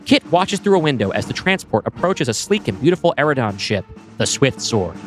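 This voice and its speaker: A man's voice: mid-range voice, big pitch swings, fairly quick, soft background music. A man reading a screenplay's scene directions aloud, with a wry, descriptive manner. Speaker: podcast co-host.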